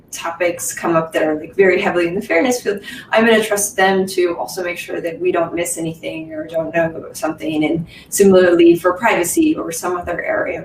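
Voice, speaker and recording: A woman with a somewhat low voice chats casually on a panel. She sounds set back from the mic in an echoey room, with a faint rumble behind her. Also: recorded from a distance. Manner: casual, many "like" and "right". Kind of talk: conversational panel discussion.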